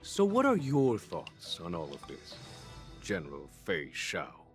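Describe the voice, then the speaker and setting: Game role: calm strategist general, male, low-pitched, formal and composed. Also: slow, full character voice. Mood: questioning. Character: calm, calculating male general, weary and dignified. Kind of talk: video game voice acting. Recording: game dialogue over background music.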